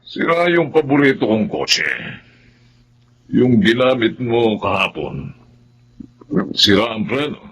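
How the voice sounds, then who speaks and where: A wealthy older father in a Filipino radio drama. His medium-pitched voice is husky and expressive, with a commanding, questioning manner. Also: slow pace, long pauses, full character voice. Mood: stern. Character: authoritative older father, wealthy master of the house.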